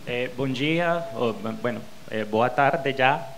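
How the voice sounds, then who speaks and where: A Spanish-speaking man speaking slowly and hesitantly for an interpreter, filling pauses with "eh". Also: mid-range voice, vocal fry, fairly bright tone, slight background noise. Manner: hesitant, many "eh" fillers. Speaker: guest speaker. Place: university auditorium.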